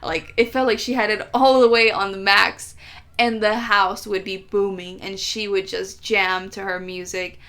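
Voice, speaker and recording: A woman's voice: mid-range voice, steady tone, measured pace, pushed and bright. A woman with an American accent who grew up in the United States, telling stories in a chatty, playful vlog. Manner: chatty, playful, exaggerated complaints. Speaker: travel vlogger. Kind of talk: casual storytelling vlog.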